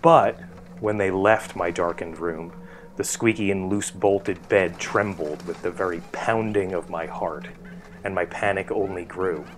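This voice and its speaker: A man's voice: low voice, noisy background. A middle-aged man from New Jersey with an American accent, reading a scripted first-person narration for a horror docuseries. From New Jersey, United States. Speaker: author telling a personal essay. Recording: music bed behind the voice. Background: guitar music.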